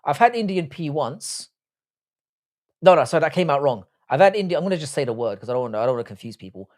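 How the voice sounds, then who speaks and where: A man's voice: fairly high pitch, big pitch swings, fast, a little mellow. A man in his early forties with a British accent, hosting a casual livestream chat in a blunt, crude, slangy, jokey way. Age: early 40s. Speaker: livestream host. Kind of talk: casual chat, reading and answering live comments.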